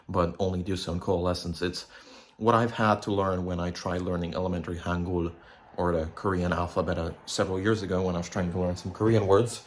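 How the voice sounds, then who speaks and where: Guy in his 20s talking low n blunt to the camera, swears a lot. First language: Polish. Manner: rambling, blunt, swears freely. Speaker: vlogger. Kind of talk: casual monologue to camera.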